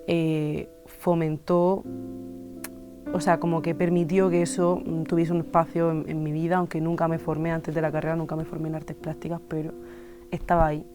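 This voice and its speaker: A woman in her twenties from Spain, an illustrator and musician, talking thoughtfully in an interview with many pauses and fillers. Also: sits low, little pitch movement, over music. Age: 20s. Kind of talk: reflective interview monologue.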